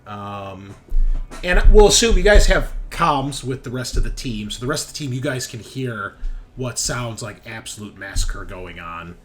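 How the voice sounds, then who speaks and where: A man's voice: medium pitch, animated, moderate pace, fairly bright tone, soft background music. A man narrating a tabletop roleplaying game as game master, with casual, off-the-cuff storytelling.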